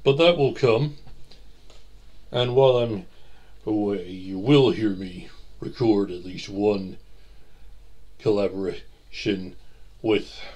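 A man hosting his audio channel, rambling casually to listeners in a halting, reflective way. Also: lowish voice, a little mellow. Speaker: host of an audio roleplay channel.

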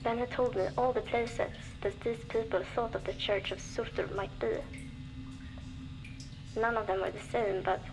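An actress playing a young woman survivor, reflective and determined, recording a measured audio diary for a video game. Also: medium pitch, natural voice, poor audio. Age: young adult.

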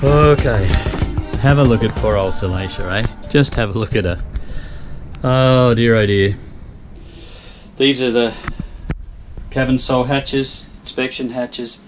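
An older Australian man talks in a folksy, chatty way, thinking out loud. His voice sits at an ordinary pitch. He is recorded on an action camera inside a sailboat cabin. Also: unhurried, long pauses, over music. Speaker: sailing vlogger. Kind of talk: casual vlog narration.

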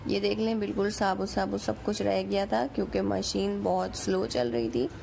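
A woman with a Pakistani accent talks through a recipe in a casual, chatty way. Her voice is heavily creaky at an average pitch for a woman. There is a faint noise behind her in the home recording. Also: moderate pace, darkish tone. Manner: chatty, informal. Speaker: home cook, recipe channel host.

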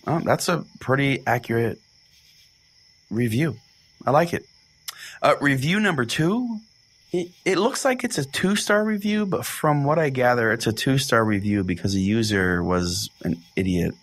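A man's voice: mid-range voice, natural voice. A man playing an oblivious, quirky pastor who hosts a spoof religious podcast in a folksy, rambling, mock-earnest way. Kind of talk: comedic podcast hosting and reading aloud.

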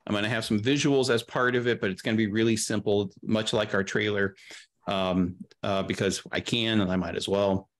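A man's voice: on the low side, steady tone, normal speed. A middle-aged man chatting casually as an interview guest, explaining production matters at length and in practical detail on a video call. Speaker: guest, audio drama producer. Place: indoor room, video call.

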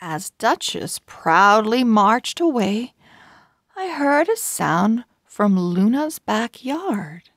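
Female children's read-aloud host, expressive and careful, some creak in the voice, dry close recording. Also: a little high, no room sound. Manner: deliberate, word by word, expressive for young readers.

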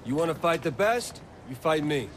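An actor playing a brash, swaggering gang boss in a video game, speaking bluntly and casually. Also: male voice, a little high, big pitch swings, normal speed, noisy background. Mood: cocky, irritated. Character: brash, cocky, foul-mouthed young gang boss.

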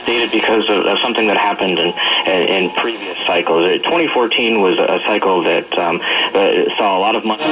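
A man's voice: low-pitched, brisk. A man in unscripted radio talk, hesitant and repeating words, heard through a dull old AM radio.

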